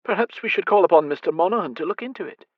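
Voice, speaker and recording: A man voicing a timid, fretful elderly blind noblewoman who stammers softly in an audiobook. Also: on the low side, expressive, very fast, no echo, phone-quality sound, voice pushed up. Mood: worried. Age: older. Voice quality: stammering, soft and hesitant.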